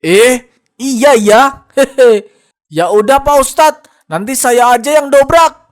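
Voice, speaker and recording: A man's voice: extremely high pitch, slow. A man voicing a blunt, bossy, suspicious village guard in a cartoon, full of exclamations. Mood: sheepish, grumbling. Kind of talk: animated cartoon dialogue.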